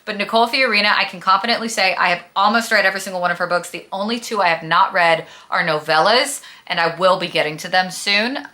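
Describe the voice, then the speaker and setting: A woman's voice: mid-range voice, quick pace, hardly any pauses, sharp tone. A woman talking casually as a book vlogger, with a gushing, emphatic, dramatic and slangy manner. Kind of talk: casual chatty book review vlog.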